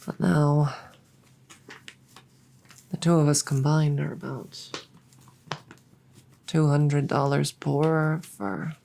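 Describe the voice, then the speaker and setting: A woman voicing an apologetic, self-blaming dragon girlfriend in a roleplay audio drama, speaking softly, tenderly and haltingly. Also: deep voice, level tone, creaky, a little hiss or hum, full character voice. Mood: guilty, apologetic.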